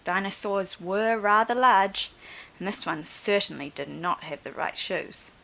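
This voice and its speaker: Expressive woman reading a children's storybook. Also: mid-range voice, measured pace, gravelly, slight background noise, low-quality recording. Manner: expressive, instructive. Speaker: primary school teacher. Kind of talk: storybook read-aloud for young children.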